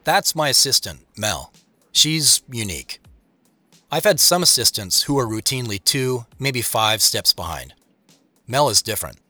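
An actor playing a measured, wry tech company boss who narrates an audio drama in the first person. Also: male voice, medium pitch, very animated, bright, forceful tone, no room sound, faint music behind, heavily acted. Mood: wry, reflective. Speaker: narrator and lead character.

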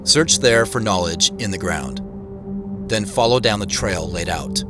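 An actor playing a wry, reflective man who narrates his own mystery in an audio drama, in measured phrases. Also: fairly low pitch, natural voice. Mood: solemn reading. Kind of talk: first-person scripted audio drama narration. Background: music.

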